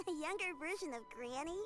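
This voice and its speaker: A woman voicing a sweet, polite and brave young child in an anime game, with a hesitant manner. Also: very high voice. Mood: curious.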